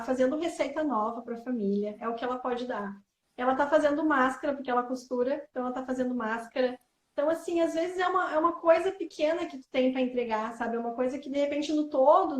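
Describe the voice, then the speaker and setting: A woman's voice: high voice, roomy sound. A woman from Brazil, a coach chatting casually on a live stream and filling her talk with "né" and "assim". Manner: warm reflective, many fillers like "né" and "assim". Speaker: guest coach.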